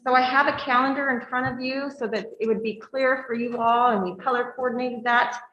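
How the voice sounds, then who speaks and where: A woman's voice: a little high, fairly even intonation, brisk, a bit bright, lo-fi sound, slight background noise. Echoey woman presenting at a board meeting. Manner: says she has a big voice, reads slides aloud with fillers. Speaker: school district director. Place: school board meeting room.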